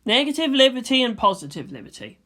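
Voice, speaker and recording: Philosophical boy , played by a woman, in a story reading: composed, solemn, certain, crisp bright voice. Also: fairly high pitch, very animated, quick pace, voice pushed up, heavily acted. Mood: lecturing. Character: calm, philosophical boy debating with unshaken conviction. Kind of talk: fan fiction audiobook character voice.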